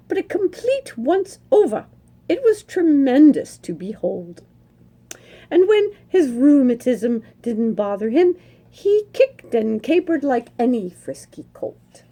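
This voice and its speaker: A middle-aged woman, a children's librarian, reading a picture book aloud in an expressive storytelling manner. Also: a little high.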